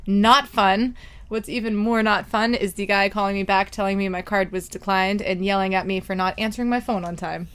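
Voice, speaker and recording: A woman's voice: mid-range voice, fairly even intonation, a bit bright, clean tone. A woman co-hosting a casual gaming podcast, ranting about her day and laughing often. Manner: chatty, laughs often, quick rants. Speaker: podcast co-host.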